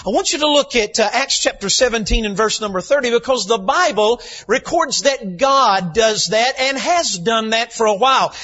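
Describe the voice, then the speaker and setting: A man, a visiting preacher, slightly hoarse, telling folksy stories and building to shouted emphasis in a sermon. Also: very high-pitched, lively intonation, moderate pace, few pauses. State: slightly hoarse from lack of sleep. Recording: dull lo-fi church recording.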